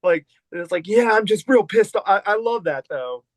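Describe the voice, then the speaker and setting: A man hosts an interview and asks questions with eager fan excitement. His voice sits fairly high for a man. The sound is dry and close, with no room echo. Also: moderate pace. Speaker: channel host.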